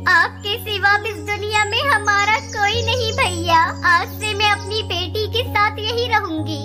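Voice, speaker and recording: A tiny, gentle bird wife, voiced by a woman in a children's cartoon. Her voice is extremely high and girlish, with a polite, earnest and expressive manner. Music plays clearly underneath. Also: measured pace. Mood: sad, pleading. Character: gentle, earnest little female bird, wife and young mother. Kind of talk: Hindi cartoon moral-story dialogue.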